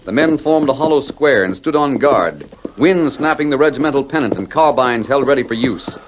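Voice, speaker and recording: A man's voice: medium pitch, lively intonation, normal speed, dull audio, slight background noise. A man narrating a 1940s radio western as announcer, in a dramatic, declamatory way. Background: music.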